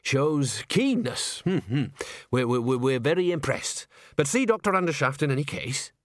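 A man's voice: medium pitch, very animated, normal speed, no echo, studio quality, close to an everyday voice. Man putting on a stammering, jovial bumbling boss. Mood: awkwardly jovial. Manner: stammering, hemming and hawing. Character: bumbling, hesitant, jolly male opera house owner.

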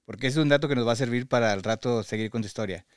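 A man's voice: medium pitch, very fast, clean tone, no echo, clean studio sound. A man with a Mexican Spanish accent hosts a podcast, interviewing a guest in a conversational way.

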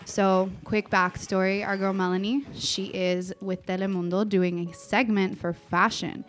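An upbeat woman hosts a morning radio show in loose, friendly chat. She laughs often and talks at an ordinary mid pitch. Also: level tone, unhurried, faint music behind.

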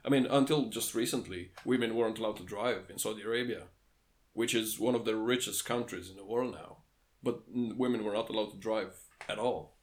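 A man's voice: on the low side, a little fast. A man co-hosting a casual podcast chat, speaking in a dry, matter-of-fact way and laughing along. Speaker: podcast co-host.

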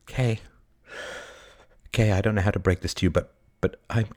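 A man playing a playful, teasing young gentleman with a theatrical, grandiose manner. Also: on the low side, fairly even intonation, lightly acted. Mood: mock grave. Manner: dramatic, halting stammer and grandiose phrasing.

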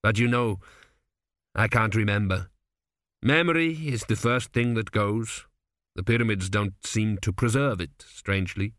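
A man voicing a very old high priest in an audiobook, calm, grave and measured, with quiet authority. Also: no room sound, clean studio sound. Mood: wistful. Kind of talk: audiobook character voice.

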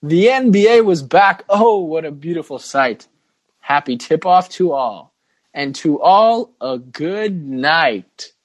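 A man's voice: on the high side, animated, slow pace. A man playing a cheerful, grand storyteller in a dramatic holiday poem reading. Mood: grand, festive.

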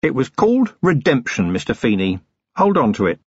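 Man as a husky, sardonic older police commander. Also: mid-range voice, sing-song, moderate pace, dry recording, dull audio. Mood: quiet, approving. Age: middle-aged. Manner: dry, gruff, commanding.

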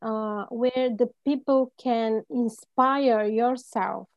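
A woman from Bulgaria with a Bulgarian accent gives personal interview answers. Her voice is somewhat high and her delivery very slow and halting, with non-native phrasing. The recording is dull and lo-fi. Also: fairly even intonation. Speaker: interview guest, life coach. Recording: muffled, echoey room sound.

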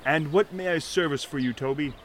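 An actor playing an earnest, pompous, kindly shantytown mayor with formal, florid speech in a 1930s audio drama. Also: male voice, a little high, brisk, a bit bright, a little hiss or hum, lightly acted. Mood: courteous.

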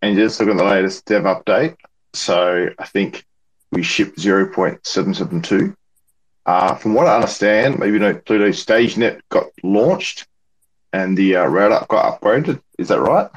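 A man's voice: on the low side, normal speed, poor audio. A man co-hosting a casual chat, speaking hesitantly and giving short replies. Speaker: guest co-host.

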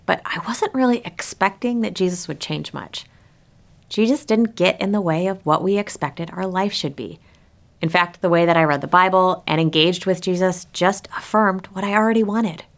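A woman pastor giving a prepared but conversational sermon, speaking directly to her listeners and posing rhetorical questions. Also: on the low side.

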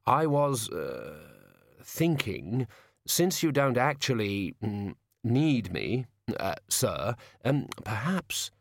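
A man's voice: on the low side, slow pace, gravelly, no room sound, clean studio sound. A man voicing a flustered young wizard in an audiobook, hesitant, nervous and deferential.

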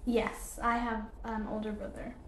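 A woman's voice: fairly high pitch, little pitch movement, moderate pace, a bit bright, reverberant. A young woman from Ethiopia, a podcast guest, answering casually with many fillers and short laughs. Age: young adult.

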